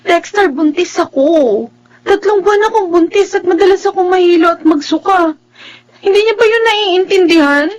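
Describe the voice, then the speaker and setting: A woman's voice: extremely high pitch, moderate pace, rough recording. A woman playing a long-suffering, devoted young wife in a radio drama, with a plaintive, pleading delivery. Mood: plaintive, hurt. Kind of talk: radio drama acting with first-person narration.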